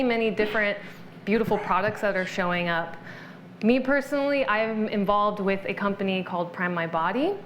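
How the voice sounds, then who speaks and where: A woman's voice: medium pitch, slight background noise. A woman guest in an interview, explaining wellness topics in an informative, list-making way. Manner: informative, explanatory, lists benefits. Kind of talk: conversational interview.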